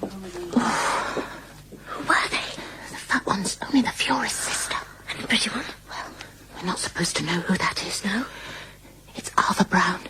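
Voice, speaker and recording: An actress playing a furtive, gossiping servant, speaking in hushed whispery tones in a radio drama. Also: female voice, medium pitch, normal speed, long pauses, natural voice, rough recording. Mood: hushed, conspiratorial.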